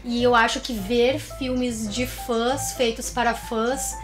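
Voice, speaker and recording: A woman's voice: on the high side, flat delivery, slow. A Brazilian woman co-hosting a conversational film review, explaining her opinions. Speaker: co-host of a film review show. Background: background music.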